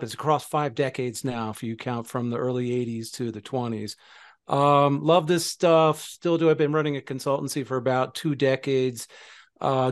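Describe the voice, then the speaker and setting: An upbeat man in his sixties talks with real enthusiasm as a guest on a casual panel. His pitch sits in the middle, and the sound is dry and close with no room around it. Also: no echo. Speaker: software consultant guest.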